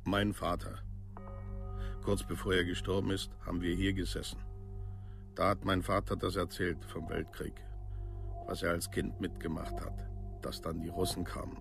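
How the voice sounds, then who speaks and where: An actor playing a plain-spoken, worn-down parent in a documentary radio drama, talking in halting, clipped colloquial phrases. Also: male voice, low-pitched, little pitch movement, gravelly, music in the background. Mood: somber, haunted. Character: parent looking back on family hardship, plain-spoken, worn down.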